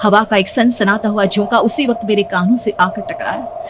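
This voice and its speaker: A young woman narrating a horror cartoon story in the first person, hushed and suspenseful. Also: medium pitch, fairly even intonation, normal speed, background noise. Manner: hushed, suspenseful, rising to frightened.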